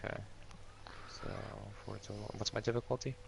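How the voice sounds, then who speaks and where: Gravelly low-voiced man in a tabletop game. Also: fairly even intonation, fairly quick. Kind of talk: casual game chat. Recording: quiet voice over clear background noise.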